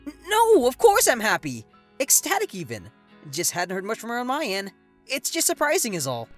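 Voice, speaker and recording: A woman voicing a gentle, kindly young male god who talks warmly and stammers when caught off guard. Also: a little high, wildly swooping pitch, normal speed, soft background music, pitched above the performer's own voice. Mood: hesitant. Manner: warm, hesitant, with stammers.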